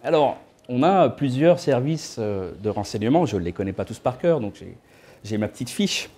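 A man in his thirties lectures to a small audience from his seat in an indoor room by a window, picked up by a camera a short way off. His voice is soft and warm. He keeps the tone loose and chatty, slipping in playful asides to the listeners. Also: mid-range voice, lively intonation, moderate pace. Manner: conversational, with joking asides to the audience. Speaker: guest speaker.

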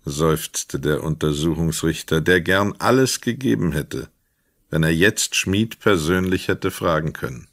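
Deep-voiced male narrator, very dry sound. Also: lively intonation, fairly bright tone, no echo. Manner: measured, formal. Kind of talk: scripted literary narration in a radio play.

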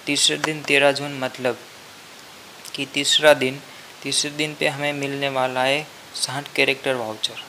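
A man's voice: mid-range voice, bright, forceful tone, a little hiss or hum, low-quality recording. A man with an Indian accent hosting a gaming channel, giving commentary over game footage. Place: voice-over on game screen capture. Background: background music.

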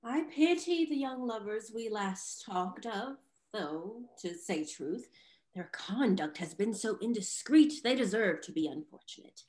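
A woman playing a poised, frank young gentlewoman who gossips, in a stagey Restoration comedy reading. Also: medium pitch, lively intonation, measured pace, close to an everyday voice, rough recording. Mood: poised, curious. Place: indoor home room on a video call.

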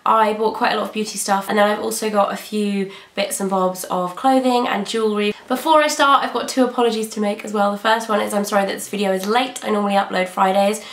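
A young British woman chatting through a beauty haul, her voice slightly croaky from a cold. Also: medium pitch, fairly even intonation, fast talker, hardly any pauses, roomy sound. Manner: chatty, gushing about products. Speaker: beauty vlogger. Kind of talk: casual haul video chat. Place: bedroom filming set indoors.

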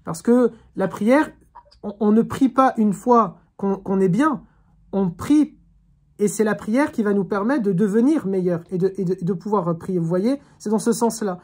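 A woman's voice: medium pitch, measured pace, a little mellow, slightly rough. A woman teaching an online religious class over a video call, speaking in an earnest, reflective way and urging her listeners on. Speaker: Quran teacher.

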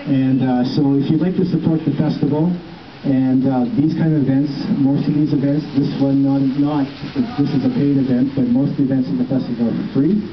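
A man's voice: mid-range voice, a little fast, crowd noise. A middle-aged man giving a casual, rambling welcome outdoors on a city street, speaking into a handheld microphone. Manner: relaxed, rambling, many ums and restarts. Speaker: festival producer welcoming a walking tour. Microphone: handheld microphone heard from a distance. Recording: roomy, distant, dull phone-camera sound.

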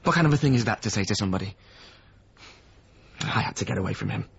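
An actor playing a bitter, wounded man in a radio drama, with blunt speech and a husky voice. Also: lowish voice, lively intonation, quick pace, fairly bright tone, muffled recording, slight background noise. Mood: bitter, hurt. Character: bitter, blunt former companion of a painter. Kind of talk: naturalistic radio drama acting.

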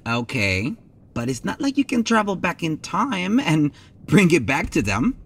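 A man's voice: on the high side, wildly swooping pitch, unhurried, slightly rough, heavily acted. A man acting a theatrical, helpful friend who mixes Spanish into English in an audio drama. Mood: incredulous.

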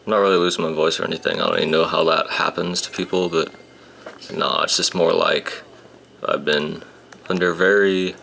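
A young man commentating a handheld game, casual and grumbling, his voice a bit rough today. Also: sits low, fairly bright tone, slight background noise. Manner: grumbling, sarcastic about the game. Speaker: gameplay commentator. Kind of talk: casual let's-play commentary. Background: game music.

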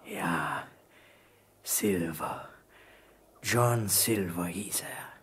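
An actor playing a ragged, half-wild marooned castaway in a radio play, imploring and fearful, with a husky voice. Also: male voice, low-pitched, drawn-out words, a bit bright, heavily acted. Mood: fearful, urgent.

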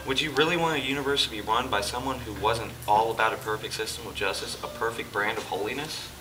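A man's voice: medium pitch, fast talker, natural voice. A man playing Jesus in an amateur church stage play, explaining earnestly and patiently to his dinner guest. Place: church hall stage, indoors. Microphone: far camera. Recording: distant camera with music behind.

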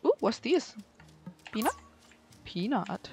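A woman gamer thinking aloud as she plays. Her voice is fairly high and unhurried, coming in short casual reactions. Soft music runs behind her. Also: big pitch swings, vocal fry. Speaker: gaming video creator. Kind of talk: casual gameplay commentary.

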